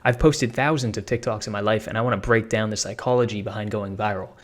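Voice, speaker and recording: A man's voice: on the low side, fairly even intonation, quick pace. A young man giving a casual, hyped, slang-heavy tutorial on making money online, talking off the cuff to his viewers. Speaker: online business creator.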